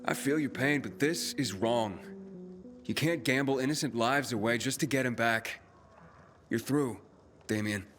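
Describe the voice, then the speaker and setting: A man's voice: medium pitch, a little slow, very noisy, close to an everyday voice. Man acting wary, blunt young survivor. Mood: stern. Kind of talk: video game voice acting.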